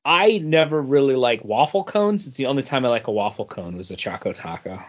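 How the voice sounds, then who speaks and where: A man's voice: mid-range voice, expressive, fairly quick. A man co-hosting a podcast in informal, casual conversation. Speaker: podcast co-host. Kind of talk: casual chat. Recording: slightly dull, lo-fi podcast audio.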